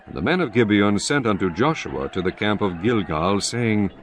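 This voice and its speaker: Low-voiced solemn male narrator. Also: lively intonation, moderate pace, a little hiss or hum. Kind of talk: scripted scripture narration.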